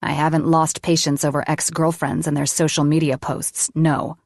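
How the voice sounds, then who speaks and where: A swearing, wounded young male doctor, put on by a woman narrator, pushing his voice low and rough as he snaps back. The sound is close and dry, with nothing around it. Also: fairly even intonation, moderate pace, dry recording. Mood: pleading, angry. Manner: growling, swearing, lowered voice.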